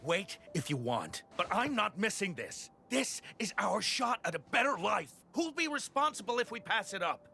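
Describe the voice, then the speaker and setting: A man's voice: high voice, big pitch swings, normal speed, gravelly, hardly any pauses, sharp tone, soft background music, heavily acted. An actor playing an eager, insistent young male worker in a video game. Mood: insistent, hopeful. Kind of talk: anime game voice acting.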